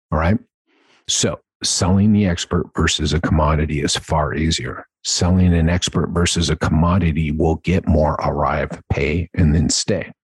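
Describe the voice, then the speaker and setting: Deep, husky-voiced male podcast coach. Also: darkish tone, no echo. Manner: emphatic, conversational, checks in with "right?". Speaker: podcast host and sales trainer. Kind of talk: instructional podcast monologue.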